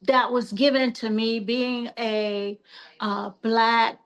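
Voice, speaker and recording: An older woman guest on a podcast, talking in a soft-spoken, measured and earnest way, with many pauses and fillers. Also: fairly high pitch, steady tone, muffled recording. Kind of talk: reflective interview talk.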